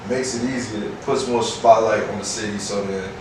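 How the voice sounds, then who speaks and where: A deep-voiced American man gives an informal, slang-filled interview as a guest. The sound is very reverberant, with clear noise around him. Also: fairly even intonation, normal speed. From Chicago, United States. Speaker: rapper and producer being interviewed. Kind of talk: casual interview chat. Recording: echoey room, distant mic, noisy background.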